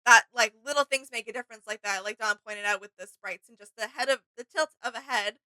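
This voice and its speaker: Bright, clear-voiced woman chatting casually. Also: a little high, fast talker, no echo, low-quality recording. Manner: agreeable, appreciative. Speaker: co-host of a reaction show. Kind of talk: casual reaction chat.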